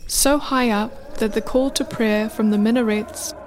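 A woman narrating a scripted folktale as a measured storyteller. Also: a little high, fairly even intonation, noisy background. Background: music.

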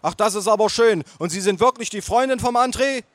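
A man's voice: high-pitched, fairly quick, a bit bright, no room sound, pitched above the performer's own voice. A man voicing a brash, hard-of-hearing aunt who bellows bluntly in a comic story reading. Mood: loud, oblivious.

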